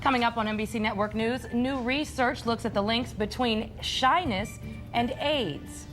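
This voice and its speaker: A woman's voice: on the high side, moderate pace, music in the background. American woman anchoring the news. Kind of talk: scripted TV news reading.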